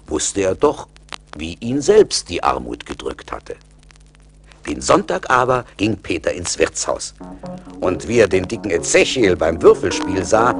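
A man narrating a fairy tale as the scripted storyteller of a radio play. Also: sits low.

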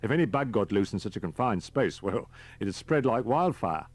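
A man voicing a brisk, deferential government driver, chatty and matter-of-fact, as audiobook dialogue. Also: fairly low pitch, expressive, no room sound, pitched above the performer's own voice. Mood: brisk explaining.

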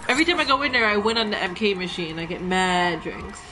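A woman's voice: medium pitch, expressive, fast talker, rough recording. A young woman with a New York accent, chatting brashly and swearing while streaming a fighting game live. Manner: brash, profane trash talk. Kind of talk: casual live gameplay chat. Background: loud game music.

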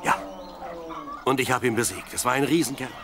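An actor playing a brave, proud young shepherd in a Bible audio drama, with farmyard animals heard behind. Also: male voice, mid-range voice, fairly quick, noisy background, lightly acted. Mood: urgent, proud.

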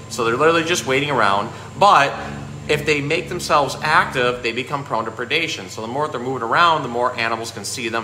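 Man, thirties, casual animal educator and storyteller. Bright, conversational delivery, echoey room. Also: mid-range voice. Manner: conversational, explanatory. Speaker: zookeeper and pet store presenter. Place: small indoor room with painted aquarium backdrop. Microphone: phone at arm's length. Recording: echoey room, faint background noise.

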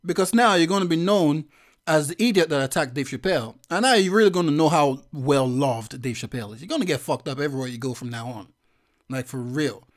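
A man giving a profane, slangy reaction commentary as a vlogger, with loud laughing outbursts. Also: on the high side, animated, fairly quick.

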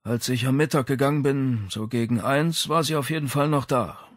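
A man's voice: medium pitch, moderate pace, no echo, lightly acted. A man reads as a big, weary music professor in a crime audiobook. He sounds irritable and defensive, and the recording is very dry. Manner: tired, irritable.

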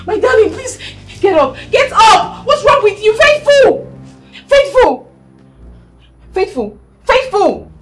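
A young woman acting as a proud, angry wife and mother who talks in a sharp, accusing way. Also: fairly high pitch. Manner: sharp and accusing, then screams in panic. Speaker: actor.

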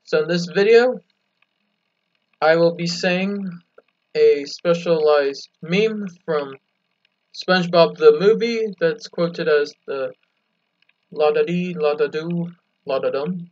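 A man hosting an amateur video, addressing viewers in halting, wordy phrases. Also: fairly high pitch, darkish tone. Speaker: video host. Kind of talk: amateur vlog talk to viewers. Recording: dull lo-fi home recording.

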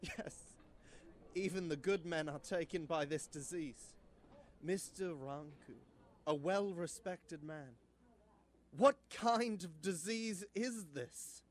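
A man's voice: a little high, slow pace. A man acting an anguished, despairing villager in a student radio play. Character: anguished villager despairing over a deadly disease.

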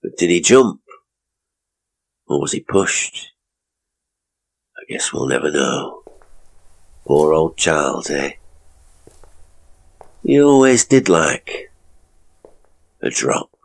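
A man's voice: rumbling low, animated, slow pace, gravelly, long pauses, heavily acted. A man playing a theatrical ghost tour guide with gallows humour, telling it like a showman in an audio horror story. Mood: macabre, relishing.

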